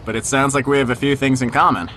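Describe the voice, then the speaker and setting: A man's voice: mid-range voice, bright, forceful tone, a little hiss or hum. A man playing an easygoing, protective teenage boy in an audio drama, casual and conversational. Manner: casual, hesitant, reassuring. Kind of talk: naturalistic audio drama acting.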